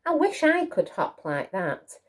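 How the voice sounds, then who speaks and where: An eager little boy hare from a children's storybook, as a woman reader puts him on. He is loving and earnest, full of wonder, with a very lively melody and drawn-out words. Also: high voice, voice pushed up. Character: small eager young male hare, affectionate, competitive.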